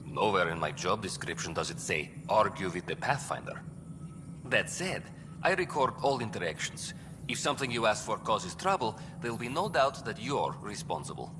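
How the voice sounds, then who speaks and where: Dry wry paper-pusher from a video game, pitch moves around a lot, big echoey hall. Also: male voice, normal speed, noisy background. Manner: deadpan, formal, wry. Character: dry, officious male bureaucrat managing cryo deployments. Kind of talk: video game character dialogue. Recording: reverberant hall, clear background ambience.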